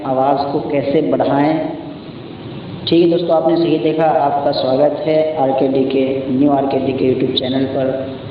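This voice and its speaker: A man hosting a casual step-by-step phone app tutorial, often repeating little check-in phrases as he explains. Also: fairly high pitch. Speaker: tutorial host. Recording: echoey, muffled, distant mic, noisy background.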